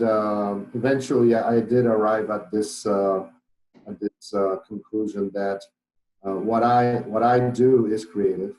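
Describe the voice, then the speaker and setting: A middle-aged man with a Polish accent and a low voice, speaking in an echoey room with faint noise behind him. He works through his ideas thoughtfully, restarting phrases and filling pauses with "uh". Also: darkish tone. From Poland. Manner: thoughtful, many fillers and restarts. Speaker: guest, business owner. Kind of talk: podcast interview.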